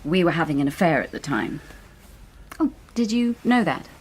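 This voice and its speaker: A woman's voice: lowish voice, very animated, normal speed, slight background noise. An actress playing a shrewd, secretive British intelligence officer in a radio drama, intimate and pointed in her delivery. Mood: confiding.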